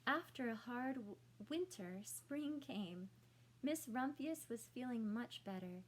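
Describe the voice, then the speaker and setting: A woman's voice: a little high, animated, takes it slowly, low-quality recording. A woman teacher reading a picture book aloud to children, adding explanatory asides and questions for young listeners.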